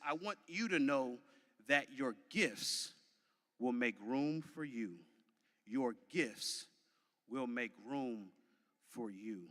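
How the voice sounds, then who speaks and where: Commencement speaker: American man, early 30s, bright forceful voice, deliberate preacher-like cadence, heavy hall reverb. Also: fairly high pitch. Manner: emphatic, deliberate, preacher-like repetition with dramatic pauses. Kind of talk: motivational commencement speech. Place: large venue, graduation ceremony.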